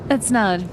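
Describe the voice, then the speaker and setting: A woman playing a wry, confident supervillainess in an intimate ASMR roleplay, teasing the listener with pauses between her phrases. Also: noisy background. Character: wry, confident supervillainess who talks to animals. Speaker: voice actor in an audio roleplay.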